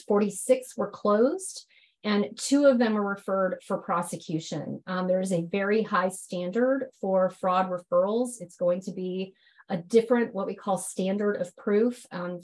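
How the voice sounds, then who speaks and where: A woman's voice: mid-range voice, low-quality recording. Woman with a head cold presenting slides. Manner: businesslike, with frequent ums and acronyms. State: head cold, a little hoarse. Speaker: agency enforcement presenter.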